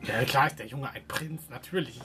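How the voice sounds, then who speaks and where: A low-pitched woman with a German accent plays a tabletop role-playing game. She banters in character, casual and sarcastic. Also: normal speed, fairly bright tone, faint music behind.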